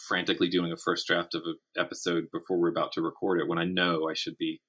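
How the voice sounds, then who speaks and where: Deep-voiced man chatting as interview guest. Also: level tone, quick pace, sharp tone, breathy edge. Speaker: podcast guest, writer and teacher. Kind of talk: casual conversational interview.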